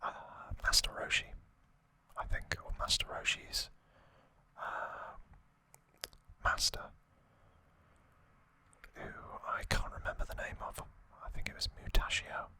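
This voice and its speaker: A man whispers an ASMR recap at a slow pace. The whisper is breathy and very sharp, with no voiced tone. He moves along haltingly, pausing between short phrases. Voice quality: breathy, unvoiced whisper throughout. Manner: soft, unhurried, halting delivery with pauses. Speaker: ASMR host.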